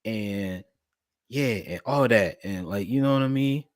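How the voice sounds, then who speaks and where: A young man speaks in a low voice, animated and preacherly as he talks straight to his livestream chat. The sound is close and dry with no room around it. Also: unhurried, dry recording. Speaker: streamer and podcast host.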